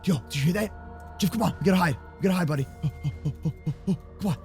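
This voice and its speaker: A young adult man improvising a children's block-game roleplay with excitable, exaggerated, slangy banter. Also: fairly high pitch, rapid-fire, faint music behind. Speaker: host of kids' gaming roleplay channel.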